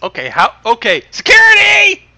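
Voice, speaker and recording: A man chatting casually as a livestream co-commentator, quick to exclaim in disbelief. His voice is high and very sharp, leaping widely in pitch. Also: wildly swooping pitch, creaky. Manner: exclaiming, incredulous.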